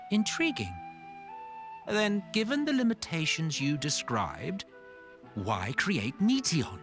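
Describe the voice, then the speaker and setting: A woman's voice: low-pitched, big pitch swings, slow, faint music behind, heavily acted. A woman playing an inquisitive scholarly character in a video game, asking questions in a formal, dramatic way. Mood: intrigued. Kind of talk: scripted video game cutscene acting.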